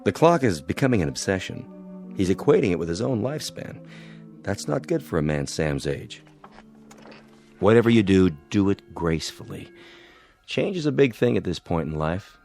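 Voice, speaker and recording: A grave, authoritative adviser in a radio drama. His low voice moves expressively with serious, measured emphasis, over faint music. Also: very animated, vocal fry, darkish tone, full character voice. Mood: grave, advising.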